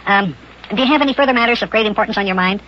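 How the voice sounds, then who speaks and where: A man voicing an eager, mischievous, boastful little boy in a children's radio serial, with a high, raspy, sped-up voice. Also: racing, close to an everyday voice. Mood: self-important. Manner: chattering, boastful, plays at being important. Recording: dull lo-fi old radio recording.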